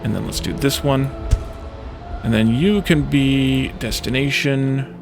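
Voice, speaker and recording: A man with a creaky, gravelly voice at a middling pitch narrates his own gameplay. He thinks out loud in short, halting phrases, muttering to himself as he decides each step. Music is clearly audible behind him. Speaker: let's play host. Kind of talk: gaming commentary, thinking aloud while playing.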